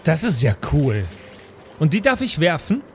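Higher-voiced male tabletop player. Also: wildly swooping pitch, normal speed, darkish tone. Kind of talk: in-character tabletop role-play. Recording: faint background noise.

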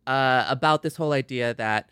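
A man's voice: mid-range voice, normal speed, bright, forceful tone, clear, resonant tone, no room sound, studio quality. A man co-hosting a podcast, explaining his points in casual talk and rephrasing as he goes. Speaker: podcast co-host.

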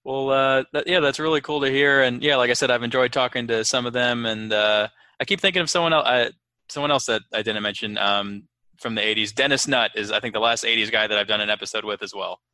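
Bright male voice, dry sound, podcast host, casual conversational interviewing. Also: medium pitch, fast, dry recording.

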